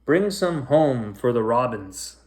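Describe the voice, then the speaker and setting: A man voicing an excitable, curious little girl from a children's storybook, with an earnest, exclaiming delivery. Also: medium pitch, slow pace. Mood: eager. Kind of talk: children's storybook character reading.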